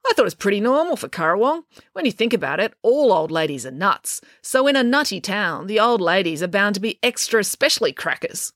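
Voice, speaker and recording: A woman's voice: mid-range voice, very animated, brisk, hardly any pauses, fairly bright tone, no room sound, studio quality, pitched above the performer's own voice. A woman voicing a prickly, outspoken young girl with a blunt, know-it-all manner. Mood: wry, approving.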